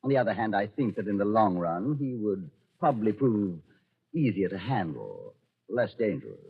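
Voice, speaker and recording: An actor playing a coolly menacing Nazi colonel in 1940s radio style, commanding and sardonically polite. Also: male voice, lowish voice, very animated, normal speed, heavily acted. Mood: smooth menace. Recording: dull, husky-sounding old radio recording.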